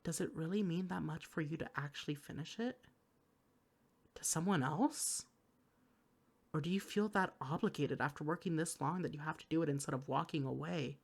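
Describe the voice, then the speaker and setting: A woman's voice: low voice, normal speed, creaky. A woman playing a worried, exasperated partner in an intimate audio roleplay, speaking to the listener with earnest, caring reproach. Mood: quiet, hurt. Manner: earnest, pleading, caring scolding.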